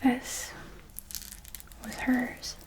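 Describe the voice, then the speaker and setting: A woman whispering an ASMR roleplay as a darkly elegant, possessive vampire mentor, telling it in a deliberate, theatrical way. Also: slight background noise, poor audio. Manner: slow, deliberate, theatrical storytelling.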